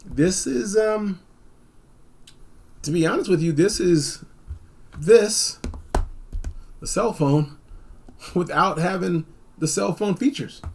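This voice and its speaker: Gravelly, higher-pitched man rambling casually. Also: lively intonation, slow pace, pauses often. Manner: rambling, repeats phrases for emphasis. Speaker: product reviewer. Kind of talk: casual unscripted gadget review.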